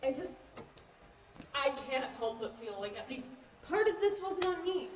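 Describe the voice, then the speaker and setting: A young woman in a student stage play, acting a bitter, wounded, defensive teenage girl with an emotional, halting, insistent way of talking. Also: high-pitched, expressive, recorded from a distance. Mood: wounded, unsure. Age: young adult. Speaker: student actor in a one-act play. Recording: echoey hall from afar, faint noise.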